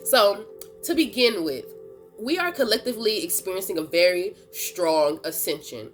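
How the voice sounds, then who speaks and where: A woman's voice: medium pitch, expressive, moderate pace, faint music behind. Chatty woman with a stuffy nose. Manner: chatty, slangy, laughs often. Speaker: tarot reader and channel host. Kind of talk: casual tarot reading chat.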